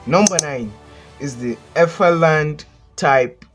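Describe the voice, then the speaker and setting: A man's voice: fairly high pitch, slow, faint music behind. A man with a Nigerian accent, hosting a casual countdown and giving blunt, joking opinions as a voice-over. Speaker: channel host. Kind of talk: casual list commentary.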